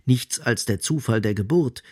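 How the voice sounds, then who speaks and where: A man narrating a literary radio play in an observant, expressive manner, with a slightly husky voice. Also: mid-range voice, moderate pace, lightly acted. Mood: observant, measured.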